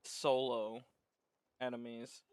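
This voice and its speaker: A male co-commentator with a smooth, clean voice and an unhurried pace. He chats casually with wry interjections, recorded dry and close. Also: mid-range voice, little pitch movement, no echo. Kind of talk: casual joking chat.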